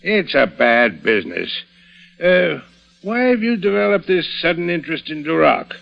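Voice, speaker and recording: A gravelly older man, rich, pompous and imperious, issuing formal orders in an old radio drama. The recording is dull and vintage, with a creaky edge to his voice. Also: on the high side, big pitch swings, moderate pace, heavily acted. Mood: haughty, wary.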